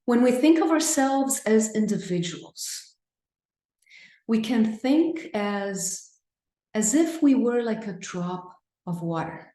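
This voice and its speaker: A woman with a Brazilian accent leading a mindfulness class on a video call, gentle and affirming. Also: a little high, lively intonation, slow, echoey room. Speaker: mindfulness teacher. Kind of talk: live online class, reading chat responses.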